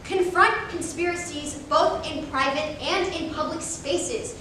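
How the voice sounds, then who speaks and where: A woman's voice: high voice, unhurried, sharp tone. A woman giving a rehearsed reader's theater performance, speaking in a theatrical, scripted way. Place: large echoey room, distant microphone. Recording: echoey hall from far off, hissy.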